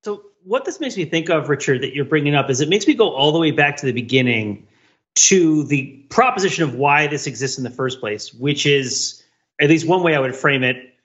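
A man's voice: a little high, animated, fairly quick, a bit bright, dull audio. A man speaking as a podcast panelist in a discursive, emphatic, intellectual way, in casual analytical discussion.

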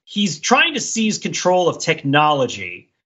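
A man co-hosting a podcast in casual chat, riffing excitedly and searching for words as he goes. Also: on the high side, a little slow, slightly rough. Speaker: podcast co-host. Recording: slightly dull, lo-fi audio.

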